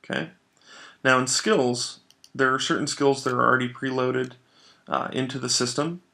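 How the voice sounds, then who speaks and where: Gravelly male software trainer, step-by-step. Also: medium pitch, flat delivery, normal speed. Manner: instructional, explanatory. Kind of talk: step-by-step screencast tutorial narration.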